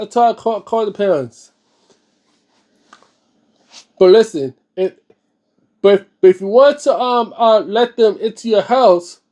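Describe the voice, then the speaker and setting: A man reading a book aloud and commenting informally, often halting, stumbling and repeating words, with slangy phrasing. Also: very high-pitched, a little mellow. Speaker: host of a read-aloud book series.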